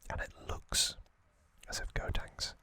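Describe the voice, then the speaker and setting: A man speaking in a breathy, unvoiced whisper, telling trivia in a soothing, halting ASMR style. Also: sharp tone, dry recording. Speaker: ASMR creator, host.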